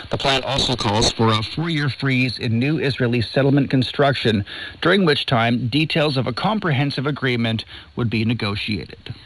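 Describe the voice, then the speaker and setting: A man's voice: mid-range voice, a bit bright, a little hiss or hum, poor audio. A man presenting scripted radio news, heard through a shortwave broadcast. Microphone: studio broadcast heard over shortwave.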